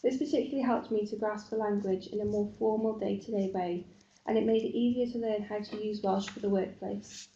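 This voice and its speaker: A woman's voice: mid-range voice, steady tone, brisk, dark tone, echoey room, highs cut off, a little hiss or hum. A young woman student reading a prepared account of her lessons, earnest, with ums. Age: young adult.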